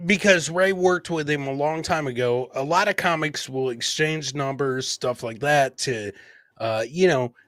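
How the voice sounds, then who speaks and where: Male podcast host, American accent, slightly high voice, blunt casual talk with plenty of swearing, very little room sound. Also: a little slow, a bit bright, no echo, clean studio sound. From Michigan, United States. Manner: brash, blunt, swears freely. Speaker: host of a live comedy podcast. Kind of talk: casual live-stream chat.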